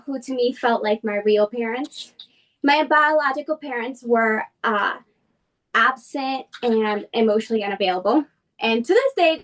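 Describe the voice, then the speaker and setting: A woman's voice: a little high, a bit bright, far from the mic, poor audio. A woman from Colombia who was raised in Boston, guesting on a podcast and telling her personal story earnestly, with many fillers.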